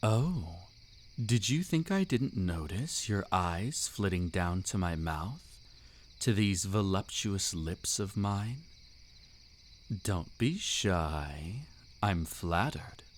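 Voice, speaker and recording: A man's voice: low voice, lively intonation, takes it slowly, slight background noise. A man playing a seductive, commanding vampire count in an intimate audio roleplay, suave, teasing and theatrically formal. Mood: smug, seductive. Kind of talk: intimate roleplay audio drama, scripted monologue.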